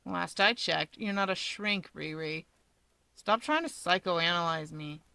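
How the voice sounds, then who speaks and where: A woman's voice: fairly low pitch, a little slow, gravelly, sharp tone, smooth, no echo, full character voice. A woman voicing a sardonic, stubborn young male villain with a dry, grumbling drawl in a story. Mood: dismissive.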